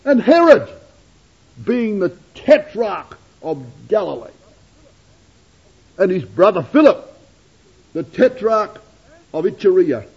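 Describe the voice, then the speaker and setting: A man preaching a sermon to his congregation, addressing them as brethren and sisters and swinging his delivery from loud declamation to hushed asides. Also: breathy edge. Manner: dramatic rises and drops in pitch and loudness. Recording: dull lo-fi sermon recording.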